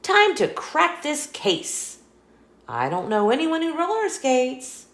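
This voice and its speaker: A woman's voice: sits high, wildly swooping pitch, slow, fairly bright tone, reverberant, voice pushed up, full character voice. A woman voicing a confident, helpful male cat secret agent in an upbeat, reassuring way for a children's story. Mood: eager.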